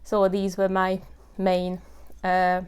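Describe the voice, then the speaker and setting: Smooth-voiced woman in her thirties. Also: mid-range voice, completely flat delivery, takes it slowly. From Hungary. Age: 30s. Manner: earnest, with many fillers like "you know" and "uh". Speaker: tenant telling her story. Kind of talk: personal testimony.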